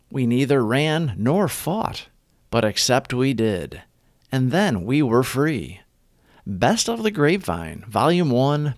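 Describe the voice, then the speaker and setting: A man reading scripted daily recovery reflections as a host, with measured, deliberate phrasing. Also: mid-range voice, no room sound, clean studio sound.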